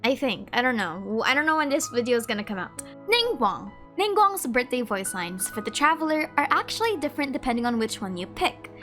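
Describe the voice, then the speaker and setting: A woman's voice: a little high, sing-song, a little fast, few pauses, a bit bright, faint music behind. A woman hosting a video with casual scripted narration. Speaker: video creator, host.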